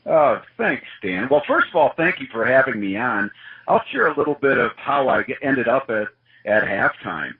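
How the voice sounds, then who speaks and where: A man's voice: medium pitch, very animated, normal speed, slightly rough. A man who grew up in Wisconsin, a guest in an interview, telling his life story in an earnest, reflective way. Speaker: guest, organization CEO. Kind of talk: personal testimony in an interview. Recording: muffled lo-fi sound.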